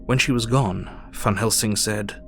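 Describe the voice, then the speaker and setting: A man playing an earnest Victorian doctor, narrating his diary in a measured, formal way for an audio drama. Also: on the low side, steady tone, faint music behind. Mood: sober, tense.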